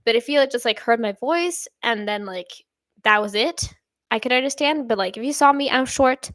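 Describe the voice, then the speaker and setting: A young woman hosting a casual live stream, reading viewer comments and rambling with lots of fillers and soft laughs. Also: moderate pace, no echo, studio quality. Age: young adult. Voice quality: somewhat deep voice. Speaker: reaction channel host.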